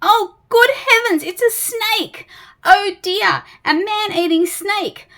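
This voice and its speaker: Mister Jelly, a woman voicing a shrill panicky little man. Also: slow pace, pushed and bright, exaggerated voice. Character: jittery tiny man, panicky and easily frightened.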